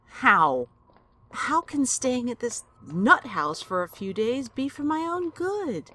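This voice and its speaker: A woman's voice: fairly high pitch, big pitch swings, takes it slowly, bright, forceful tone, full character voice. An actress playing an elderly woman who is worried and stubborn, and pleads and protests. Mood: defiant. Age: older. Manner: pleading, then defiant.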